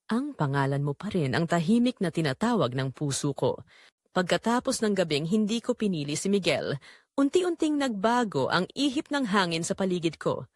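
A woman narrating a scripted romance audio drama in the first person, in an earnest, sentimental, measured way. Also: medium pitch, no echo, clean studio sound.

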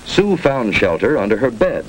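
A man's voice: medium pitch, very animated, normal speed, lightly acted. A man with an American accent narrating a 1950s instructional film in clipped, authoritative instructions. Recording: noisy old 1950s film soundtrack.

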